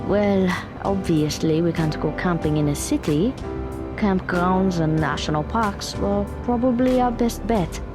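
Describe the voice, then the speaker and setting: A woman's voice: on the low side, measured pace, music in the background, close to an everyday voice. A woman playing a practical, blunt young woman in an audio drama, speaking matter-of-factly.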